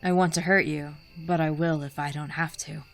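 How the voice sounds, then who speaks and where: An actress playing a stern, businesslike agent in an audio drama, formal and clipped. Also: female voice, sits low, fairly even intonation, moderate pace, music in the background. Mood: warning. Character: stern, businesslike female agent of mysterious authority.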